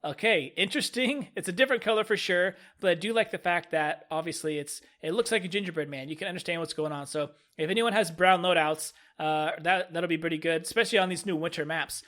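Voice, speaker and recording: A man's voice: on the high side, quick pace, hardly any pauses, a bit bright. A man stuffed up with a cold, casually and enthusiastically reviewing a new game update as a gaming video host. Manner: upbeat, enthusiastic, repeats "really, really". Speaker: gaming YouTuber.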